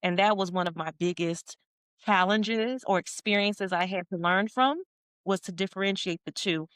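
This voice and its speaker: A middle-aged woman talks as a podcast guest and leadership coach. Her pitch sits at an ordinary middle height, and she leans on her words with earnest emphasis, coming back to points she has already made. The recording is dry and close, with no room sound. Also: moderate pace, fairly bright tone, clear, resonant tone, no echo. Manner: earnest, emphatic, with repeated phrases. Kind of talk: podcast interview.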